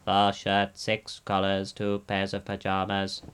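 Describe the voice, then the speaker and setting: A man voicing a paper-faced dummy king come to life, in a thin, papery, monotonous voice as in a storybook. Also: sits low, a little slow, clear, resonant tone. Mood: monotonous droning.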